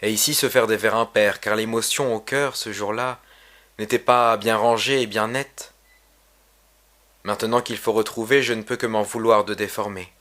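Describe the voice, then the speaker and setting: A man reading his own poem aloud in a solemn, measured, literary recitation. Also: on the low side, steady tone, a bit bright. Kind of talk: poetry recitation.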